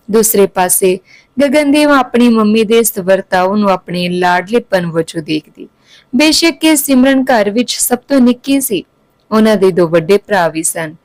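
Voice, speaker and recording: A woman's voice: mid-range voice, no room sound, rough recording. A woman narrating a scripted emotional family story as a storyteller.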